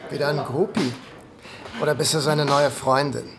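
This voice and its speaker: An actor playing a sleazy, flirty macho from a martial arts club, taunting and leering, in a naturalistic radio drama. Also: male voice, fairly high pitch, fairly even intonation, normal speed, background noise, natural voice. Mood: cocky, flirty.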